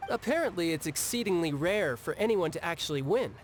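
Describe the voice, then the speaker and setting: An actor playing a breezy, confident young male fighter in a video game, speaking in a casual, smooth, conversational manner. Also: fairly high pitch, animated, a little fast, a little hiss or hum, natural voice. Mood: explanatory. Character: breezy, confident young male warrior who relishes duels. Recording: music behind the voice. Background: game music.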